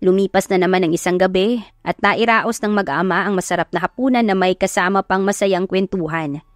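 A woman narrator reading a scripted romance audio drama in an expressive storytelling style. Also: mid-range voice, fairly quick, no room sound, clean studio sound. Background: music.

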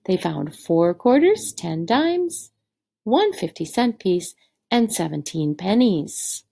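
Lady teacher reading a kids book, really expressive pitch, bit of vocal fry, talks right to the children. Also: slow. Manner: expressive, instructive, addresses children directly. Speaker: teacher reading aloud to third graders. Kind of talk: animated picture-book read-aloud.

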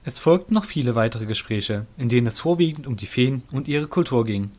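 A man's voice: medium pitch, lively intonation, brisk, darkish tone. A man narrating a fantasy audio drama in a scripted storytelling style.

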